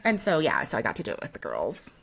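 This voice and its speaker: A woman in her thirties chatting casually as a podcast co-host, telling stories colloquially and acting out reported exchanges in an animated way. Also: rumbling low, fast, creaky, slightly rough. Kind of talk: casual conversational storytelling with quoted dialogue.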